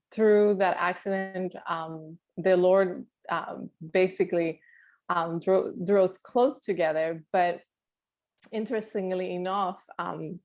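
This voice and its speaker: Chatty woman from Puerto Rico. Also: on the low side. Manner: warm, laughing often, many fillers. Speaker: guest alumna, architect. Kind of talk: video call interview.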